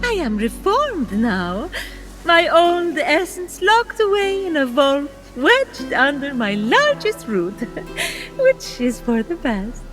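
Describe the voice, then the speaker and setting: Sweet pious love goddess for a game, genteel n gushy, slow and super lilting. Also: female voice, high-pitched, big pitch swings, a bit bright, faint music behind, full character voice, rough recording. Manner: theatrical, genteel, sighing and gushing. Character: reformed love goddess turned tree, saintly, sweetly humble. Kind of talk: video game cutscene acting.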